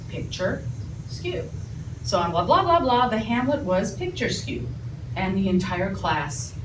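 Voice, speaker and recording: A woman from the former Soviet Union talks to a group as a public speaking trainer, bringing her stories to life by acting out the other voices. She is picked up from a distance, so the room rings around her. Steady background noise sits under her words. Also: medium pitch. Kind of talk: storytelling talk to a group. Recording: echoey room heard from afar, noisy.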